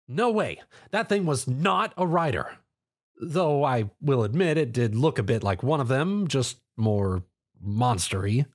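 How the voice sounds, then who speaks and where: Man as young male hero, earnest, awkward, animated, slightly raised pitch, fan story. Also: a little slow, slightly rough. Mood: unsure.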